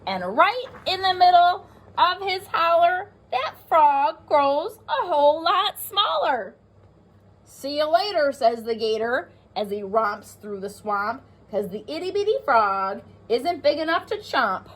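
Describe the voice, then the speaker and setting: A woman teacher reading aloud to pre-kindergarten children, expressive and sing-song, stressing rhyming words and pausing to talk to her listeners. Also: sits high. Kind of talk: animated children's read-aloud with asides.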